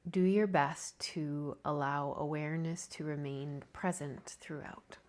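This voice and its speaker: A woman teaching yoga nidra, leading a guided meditation in a gentle, calming, softly breathy way. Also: deep voice, unhurried. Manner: calming, gentle, soft-spoken with breathy softness.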